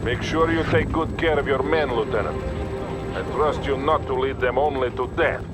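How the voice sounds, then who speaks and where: An actor playing a stern, composed Soviet army colonel with a Russian accent, giving measured orders in a military video game. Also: male voice, medium pitch, over loud music, close to an everyday voice. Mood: composed, commanding. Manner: authoritative, measured, commanding. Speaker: military commander character. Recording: battle gunfire noise behind voice. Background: gunfire, artillery and vehicles.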